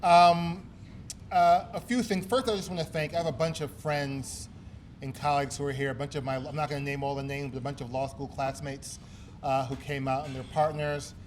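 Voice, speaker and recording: A man's voice: fairly high pitch, fairly even intonation, moderate pace, a little hiss or hum. A man from Brooklyn, giving a chatty, self-deprecating award acceptance speech full of ums and uhs. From East New York, Brooklyn. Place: gala banquet hall.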